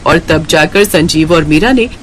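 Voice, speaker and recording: A woman's voice: sits low, expressive, a little slow, slight background noise. A woman reading scripted story narration.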